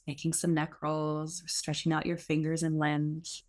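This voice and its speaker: A woman teaching guided meditation in an unhurried, reassuring, gently instructive way with pauses. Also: deep voice, completely flat delivery.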